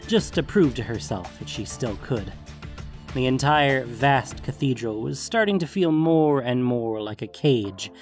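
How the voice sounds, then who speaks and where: A man acting as narrator, reading scripted fantasy fiction. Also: mid-range voice.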